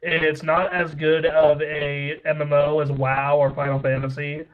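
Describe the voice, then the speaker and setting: Male host, slightly high pitch, filler-heavy casual talk, muffled recording. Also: little pitch movement. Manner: brisk, filler-heavy with many "like"s. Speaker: podcast host. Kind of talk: casual opinionated chat. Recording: slightly dull lo-fi audio.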